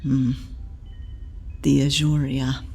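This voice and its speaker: A gravelly elderly matron speaks slowly. She scolds and teases her grown charge like a mother would. In this audio drama she sounds blunt and plainly fond. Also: a bass voice, little pitch movement, warm tone, soft background music, exaggerated voice. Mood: motherly. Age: older. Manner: slow, dry and scolding. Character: elderly woman healer, blunt, motherly, teasing. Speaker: matron healer.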